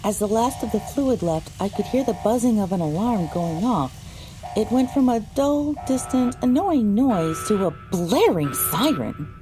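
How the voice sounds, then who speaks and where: A woman reading scripted first-person audiobook narration in a measured, dramatic storytelling manner. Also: medium pitch, sing-song, dark tone, low-quality recording. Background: music.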